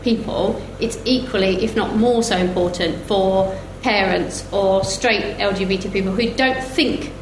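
A woman's voice: medium pitch, a little slow, hardly any pauses. A woman speaking informally and telling anecdotes as a panellist at a live discussion. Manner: informal, conversational. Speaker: festival panellist. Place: indoor live event venue. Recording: echoey room, clear background noise.

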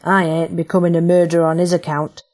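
Woman voicing a gruff rebel woman. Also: on the low side, little pitch movement, fast, darkish tone, lightly acted. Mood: blunt. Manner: blunt, gruff dialect. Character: gruff tough older woman rebel leader.

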